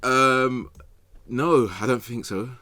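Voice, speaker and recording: A man chatting casually about football as a podcast guest, slangy and jokey, quick to laugh. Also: medium pitch, sharp tone.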